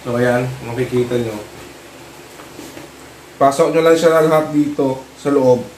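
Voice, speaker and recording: A Filipino man giving a casual hands-on tutorial, talking informally in short, halting phrases as he works. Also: medium pitch, darkish tone. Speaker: vlogger demonstrating product installation. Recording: roomy, distant mic with clear noise.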